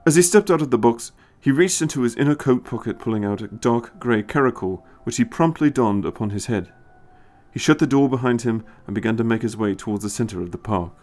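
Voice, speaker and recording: A man's voice: medium pitch, brisk, a little mellow. A man reading scripted story narration as the narrator.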